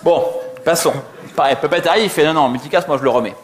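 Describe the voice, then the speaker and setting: A man's voice: fairly high pitch, fast talker. A man giving an informal technical conference talk in a slangy, conversational way, often acting out little dialogues. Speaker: conference co-speaker, software developer.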